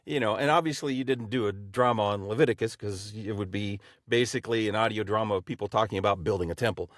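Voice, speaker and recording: A man co-hosting a podcast who asks questions in a halting, friendly way. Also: fairly low pitch, no echo, clean studio sound. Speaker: podcast co-host. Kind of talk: casual chat.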